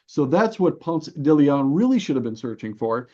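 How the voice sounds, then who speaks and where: A man's voice: a little high, sing-song, normal speed, warm tone. A man giving explanatory interview talk as a guest coach, in a didactic, emphatic manner with rhetorical questions. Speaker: podcast guest, health and fitness coach. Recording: dull, band-limited audio.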